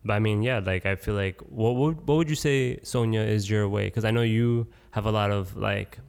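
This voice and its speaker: A man co-hosting a casual podcast chat, asking relaxed questions full of fillers. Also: lowish voice, moderate pace, gravelly, smooth. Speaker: podcast co-host.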